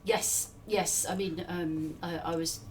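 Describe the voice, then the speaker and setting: A woman's voice: lowish voice, steady tone, slow. A woman interviewer in conversation, phrasing questions and reflections tentatively, recorded at a distance from the mic with some faint noise.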